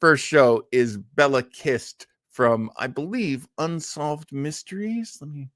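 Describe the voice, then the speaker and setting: A man's voice: a little high, takes it slowly, a bit bright, dry recording, clean studio sound. A man chatting casually as the host of a livestream, rambling in a self-deprecating, easily amused way. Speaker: host of an old-time radio livestream.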